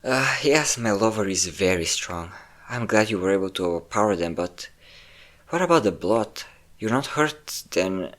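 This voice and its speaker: A man's voice: on the low side, animated, normal speed, a bit bright. A man playing a possessive, obsessive centuries-old vampire in a dramatic romantic audio roleplay, intense and theatrical in delivery. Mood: cold fury. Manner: intense, theatrical, swinging between tender and threatening. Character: possessive obsessive five-hundred-year-old male vampire lover, menacing, volatile.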